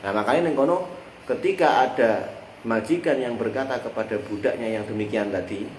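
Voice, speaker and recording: A man's voice: mid-range voice, brisk. A man with a Javanese accent teaching a religious text lesson, explaining with everyday examples and drawing his listeners into finishing his sentences. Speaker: teacher of a classical Islamic text. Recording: echoey room with faint background noise.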